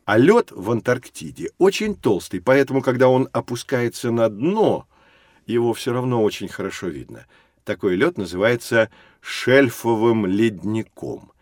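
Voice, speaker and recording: An actor playing a patient, learned science teacher who explains things to children in a measured, didactic way for an educational audio drama. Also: male voice, medium pitch, animated, a little mellow, dry recording, studio quality, natural voice. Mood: patient, explanatory.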